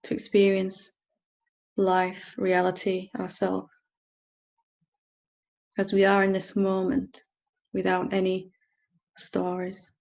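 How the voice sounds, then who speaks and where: A woman teacher leading a guided meditation in a gentle, unhurried manner with long pauses. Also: mid-range voice, monotone, gravelly, muffled recording. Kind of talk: guided meditation, softly instructing.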